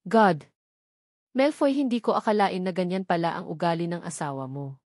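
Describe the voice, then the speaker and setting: A woman's voice: on the low side, normal speed, a little mellow, dry recording, clean studio sound, close to an everyday voice. Woman putting on a snooty, spiteful female rival. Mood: offended. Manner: affected, snooty, scornful.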